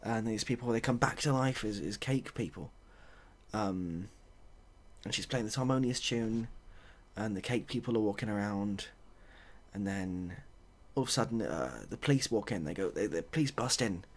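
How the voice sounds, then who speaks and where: Husky, soft-spoken man telling a story. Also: on the low side, expressive, moderate pace. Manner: hesitant, dry, rambling, self-deprecating. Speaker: ASMR video host.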